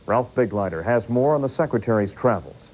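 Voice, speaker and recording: An American man anchors a television newscast, reading prepared copy. His voice sits at an ordinary male pitch, delivered as studio news reading. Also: expressive, a little fast, darkish tone, a little hiss or hum, rough recording.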